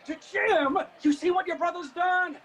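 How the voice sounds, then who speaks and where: An actor playing an agitated Appalachian preacher pleading urgently for lawful order in a radio drama. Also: male voice, very high voice, moderate pace, a bit bright, a little hiss or hum.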